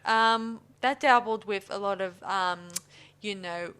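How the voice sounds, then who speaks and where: A woman's voice: mid-range voice, slow pace, fairly bright tone, clear, resonant tone. A woman hosting a film podcast, chatting casually and rambling with many restarts. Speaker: podcast host. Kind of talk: casual chat.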